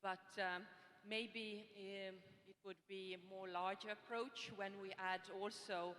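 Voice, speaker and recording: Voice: medium pitch, flat delivery, slow, bright, forceful tone. Hesitant audience voice in an echoing hall. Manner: hesitant, many fillers. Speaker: audience member asking a question. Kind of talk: spontaneous remark from the floor. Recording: reverberant conference hall.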